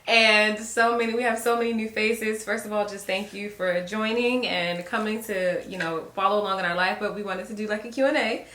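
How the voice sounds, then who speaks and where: A woman in her thirties from Virginia, hosting a relaxed, chatty couple Q&A and laughing often. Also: mid-range voice, fairly quick, few pauses. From Virginia, USA. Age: 30s. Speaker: lifestyle YouTuber host. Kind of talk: casual couple Q&A chat. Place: home room. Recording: echoey room.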